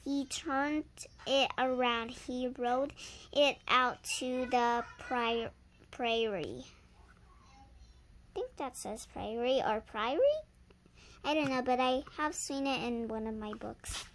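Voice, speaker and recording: A young storyteller reading aloud haltingly, sounding out and repeating words, recorded on a handheld phone. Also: mid-range voice, steady tone, a bit bright, slight background noise. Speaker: reader and channel host.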